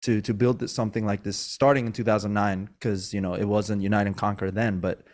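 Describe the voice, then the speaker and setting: A man's voice: on the low side, little pitch movement, brisk, a little mellow. A man hosting a podcast interview, born in Iran and raised in Maryland, talking casually with lots of fillers and restarts. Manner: rambling, with many fillers and restarts. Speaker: podcast host. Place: Sacramento, California.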